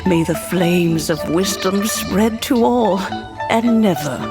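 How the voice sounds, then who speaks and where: A woman voicing a kindly older woman in a video game, who tells an old legend in a slow, soft, reflective way. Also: medium pitch, animated. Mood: wistful. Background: game music.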